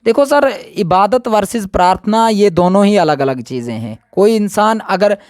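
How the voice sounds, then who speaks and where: Man, high pitch, dry sound, emphatic, repetitive, unscripted opinion interview. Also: lively intonation, moderate pace, a little mellow, no room sound.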